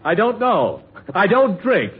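A man's voice: high-pitched, wildly swooping pitch, unhurried, exaggerated voice. An actor playing a glib radio man looking for work, in 1940s radio comedy style. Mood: glib, eager. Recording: muffled noisy old radio recording.

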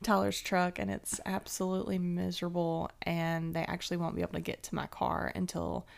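Giggly young woman with a gravelly edge. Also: on the low side. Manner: giggly, animated, quick asides. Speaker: podcast co-host. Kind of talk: casual chat.

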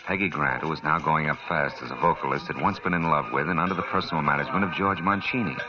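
An actor playing a wisecracking hard-boiled private detective who narrates a 1940s radio drama. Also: male voice, extremely low pitch, fast. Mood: wry. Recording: dull old radio recording with music.

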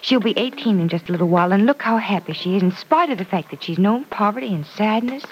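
A woman's voice: mid-range voice, expressive, quick pace, lightly acted. Casting: actress as an earnest, reflective young woman in a vintage radio serial, dull lo-fi sound with faint hiss. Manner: earnest, reflective, light laughs. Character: young woman friend, wistful, thoughtful. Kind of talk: 1940s radio drama acting.

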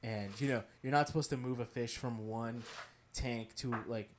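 A man's voice: medium pitch, moderate pace, background noise. Laid-back young man telling stories. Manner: relaxed storytelling, filler words, dry humor. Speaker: podcast co-host. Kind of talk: casual chat.

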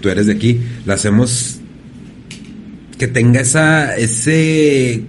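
A man from hosting a casual interview, relaxed and slangy with frequent restarts. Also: mid-range voice, takes it slowly, a little hiss or hum. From Ciudad Juárez, Mexico. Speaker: podcast host.

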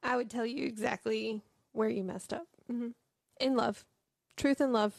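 A woman's voice: a little high, steady tone, normal speed, vocal fry, dry recording, clean studio sound. A woman co-hosting a morning show, chatting casually and affirming her partner, laughing easily. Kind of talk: casual chat.

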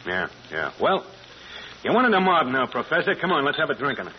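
Male actor as curt, suspicious crime boss, tough-guy slang, lively pitch, faintly noisy vintage radio. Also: a little fast, close to an everyday voice. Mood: grudging. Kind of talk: 1940s radio gangster acting. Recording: muffled old radio broadcast, faint noise.

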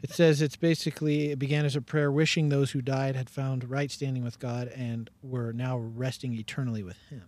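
A young man co-hosting a casual podcast from a parked car, making deadpan jokes. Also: mid-range voice, brisk, hardly any pauses, dry recording. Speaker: podcast co-host.

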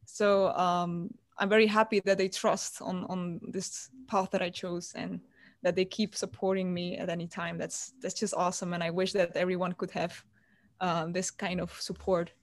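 A woman's voice: lowish voice, flat delivery, normal speed, dry recording. Woman guitarist chatting on a video call, dry sound, stops and restarts a lot. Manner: chatty, hesitant restarts, warm about family. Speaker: guitarist guest. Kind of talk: casual interview over video call. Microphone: computer on video call.

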